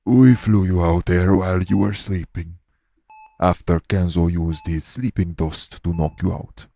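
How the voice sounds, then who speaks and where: A low, gravelly male official of a secret organization, played by a male voice actor, addresses the listener in an audio roleplay. His tone is dark and mellow, and his delivery is formal, measured and calm. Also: heavily acted. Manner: measured, formal, matter-of-fact.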